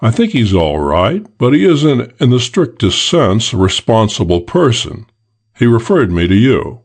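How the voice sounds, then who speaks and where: A man playing a careful, matter-of-fact private detective with a measured manner in an audiobook. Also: mid-range voice, big pitch swings, husky, exaggerated voice. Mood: businesslike. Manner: businesslike, measured. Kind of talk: audiobook character acting.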